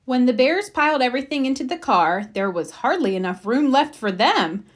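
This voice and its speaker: A woman narrates a children's picture book. Her voice sits a little high and moves with lots of melody. She phrases things expressively and emphatically for young listeners. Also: lively intonation, normal speed. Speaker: storybook reader.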